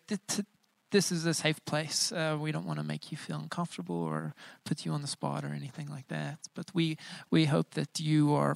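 A man's voice: on the high side, gravelly, fairly bright tone, clear, resonant tone, no room sound. A man in his thirties, a pastor giving a casual, joking sermon with many filler sounds. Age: 30s. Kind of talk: informal bilingual sermon.

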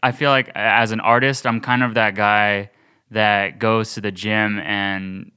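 A man's voice: fairly low pitch, level tone, unhurried, bright, forceful tone, clear, resonant tone. A young man co-hosting a podcast, talking earnestly and thinking aloud with many restarts. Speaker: podcast co-host. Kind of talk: casual podcast chat.

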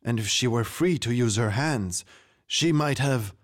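A man's voice: medium pitch, unhurried, voice pushed up, natural voice. A man voicing a dutiful tiger warrior guard in a storybook, formal and submissive before royalty. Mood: apologetic.

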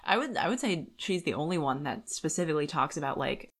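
Female podcast co-host, lower register, informal and reflective book chat. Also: lively intonation, quick pace. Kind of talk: casual book discussion.

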